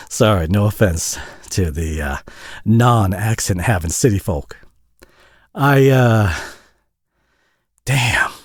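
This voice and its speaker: A man's voice: mid-range voice, breathy edge, dry recording, studio quality. A man acting a tired, flustered, friendly single dad with a Southern US accent in an intimate audio roleplay, rambling and stammering in a folksy, self-deprecating way.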